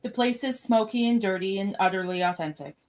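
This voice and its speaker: A woman's voice: mid-range voice, brisk, darkish tone. A woman reading scripted scene directions as narrator of a podcast radio play.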